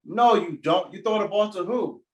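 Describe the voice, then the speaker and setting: A woman playing an unflappable, matter-of-fact straight partner in a 1940s radio comedy routine, deadpan and patiently correcting. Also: medium pitch, moderate pace, roomy sound, lightly acted.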